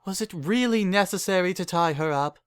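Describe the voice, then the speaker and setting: A narrator voicing a refined, dramatic, motherly female cartoon unicorn with a genteel, affected, drawn-out delivery. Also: mid-range voice, clear, resonant tone, dry recording, studio quality, pitched above the performer's own voice, lightly acted. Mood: concerned.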